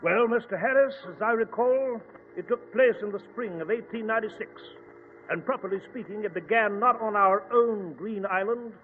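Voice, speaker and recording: A man acting a genteel British doctor and storyteller in a 1940s detective radio play. His voice sits high, with courteous, old-fashioned phrasing. It comes through a narrow, reverberant, faintly hissy vintage broadcast. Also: normal speed, close to an everyday voice. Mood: genial storytelling. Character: Doctor Watson, genteel English gentleman recounting detective adventures.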